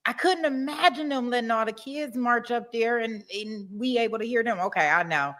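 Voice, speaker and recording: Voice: mid-range voice, normal speed, fairly bright tone. A live-stream commentator chatting casually to viewers, talking back to them and laughing often.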